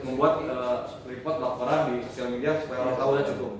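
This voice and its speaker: A man's voice: medium pitch, steady tone, fast, a crowd in the background. A man with a Sundanese accent, a guest in casual chat, joking and slangy, often repeating himself. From West Java. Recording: echoey room, distant mic.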